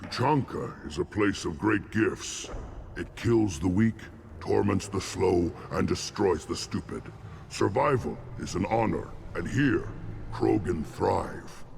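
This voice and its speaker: Gravelly, solemn alien warrior shaman. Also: low voice, animated, a little mellow, slight background noise, full character voice. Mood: zealous, grandiose. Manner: ceremonial, grandiose, measured declarations. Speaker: clan shaman. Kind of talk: video game voice acting.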